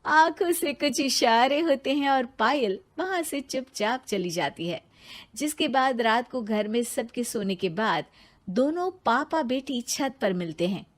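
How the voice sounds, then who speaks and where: A woman's voice: on the high side, expressive, unhurried. A woman narrating a scripted moral story as a storyteller.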